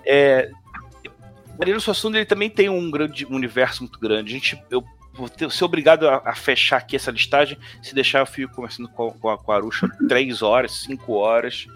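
A man's voice: medium pitch, a little fast, fairly bright tone, soft background music. A man with a Northeastern Brazilian accent, a tabletop game designer chatting casually and rambling with many restarts. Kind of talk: casual conversation on a live stream.

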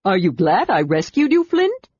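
A woman's voice: mid-range voice, very animated, moderate pace, a little mellow, breathy edge, dry recording, highs cut off. A woman voicing a cheerful, teasing male kender in a fantasy audiobook. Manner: playful, teasing.

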